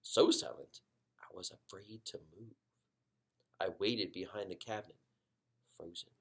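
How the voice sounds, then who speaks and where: A man reads a children's story aloud in a fairly low voice. He is expressive, stretching key words and leaving dramatic pauses. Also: breathy edge. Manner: dramatic pauses, drawn-out emphasis.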